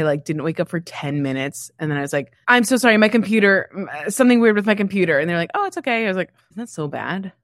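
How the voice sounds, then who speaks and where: A woman's voice: low-pitched, no room sound, studio quality. A young woman co-hosting a podcast in informal, unscripted conversation with a friend. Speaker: podcast co-host. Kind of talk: casual unscripted chat with a friend.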